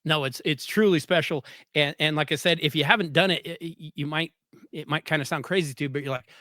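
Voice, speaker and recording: A man's voice: a little high, quick pace, sharp tone, no room sound, studio quality. An American man hosting a conversational interview, speaking earnestly and emphatically and often repeating his phrases. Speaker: podcast host, former coach.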